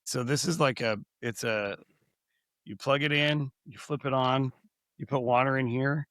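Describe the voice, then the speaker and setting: A man co-hosting a podcast, hoarse from recently losing his voice, chatting casually and rambling through many restarts. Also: mid-range voice, fairly even intonation, unhurried, a bit bright, no room sound, studio quality. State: hoarse, recovering from lost voice. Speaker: podcast co-host.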